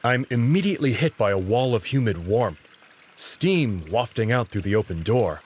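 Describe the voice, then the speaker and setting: A man reading scripted, expressive first-person narration as the narrator of a visual novel. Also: medium pitch, moderate pace, breathy edge.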